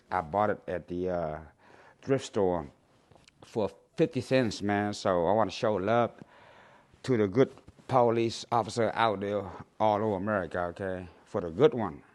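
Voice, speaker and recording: A man in his sixties from Cambodia with a Cambodian accent, rambling and halting to his viewers, with many fillers and restarts. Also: on the low side. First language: Khmer. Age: 60s. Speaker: YouTuber. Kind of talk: casual vlog to camera.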